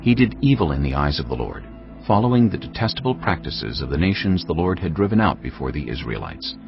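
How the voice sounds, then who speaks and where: A man narrating scripture in a measured, solemn storytelling voice. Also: extremely low pitch, sing-song, vocal fry. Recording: dull lo-fi audio over faint music.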